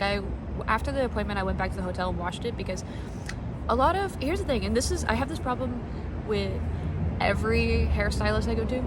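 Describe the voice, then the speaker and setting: A young woman, a native speaker of English and Portuguese, chatting candidly and casually to livestream viewers, swearing freely. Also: a little high. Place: outdoors in the shade. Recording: distant mic, loud outdoor traffic and ambience.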